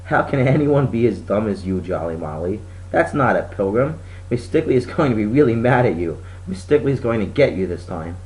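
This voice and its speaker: A man's voice: fairly low pitch, animated, fairly quick, a little mellow, natural voice, low-quality recording. A man voicing a snooty, mocking schoolgirl in a storybook reading, with a scornful, taunting delivery.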